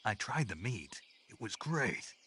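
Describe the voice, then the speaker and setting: Give this stoic video game soldier a very low, gravelly voice. He speaks in terse, deadpan phrases with lively swings in pitch, over faint background noise. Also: unhurried, exaggerated voice. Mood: deadpan, curious.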